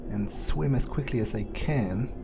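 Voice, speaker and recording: Male game player in character as a grumbling vampire, mid-pitched, very unhurried hesitant muttering, in-character thinking aloud. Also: creaky, background noise. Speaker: let's player.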